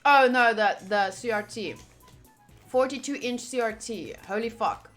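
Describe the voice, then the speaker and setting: Game streamer: woman, fairly high and bright, alternates read-aloud narration with informal chat. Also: measured pace, soft background music. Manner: informal, chatty asides. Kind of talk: reading game text aloud with casual chat.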